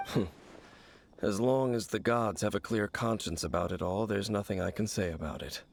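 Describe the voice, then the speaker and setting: A man playing a cool, cynical outsider in a video game, speaking in a measured, sardonic, guarded way. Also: fairly low pitch, creaky, slight background noise. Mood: dry, detached. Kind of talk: English video game voice acting. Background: game music.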